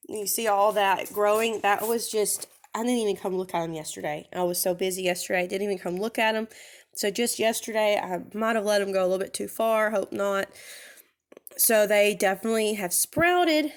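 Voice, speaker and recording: A woman with a nasal voice from a cold, chatting casually through a gardening how-to with many ums and self-corrections. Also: mid-range voice. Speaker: vlogger.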